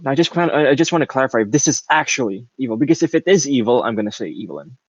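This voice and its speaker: A man's voice: medium pitch, animated, rapid-fire, no room sound. Guy on a phone mic, dry muffled sound, casual group chat, kinda rambly and sorry. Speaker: reader in a group fan fiction readalong.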